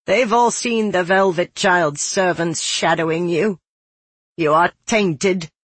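A narrator, a woman, puts on a world-weary older woman. She speaks firmly and deliberately, like someone handing down a grim warning in a storybook. The sound is close and dry. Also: lowish voice, husky, dry recording, pitched above the performer's own voice. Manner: grave, firm, deliberate. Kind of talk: dramatic audiobook character acting.

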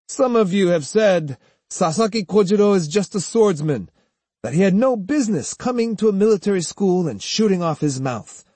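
Swaggering master swordsman, played by a male narrator: raised pitch, haughty, mocking, boastful, audiobook drama. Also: darkish tone, slightly rough, dry recording, full character voice. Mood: scornful, triumphant. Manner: haughty, lecturing, rhetorical questions.

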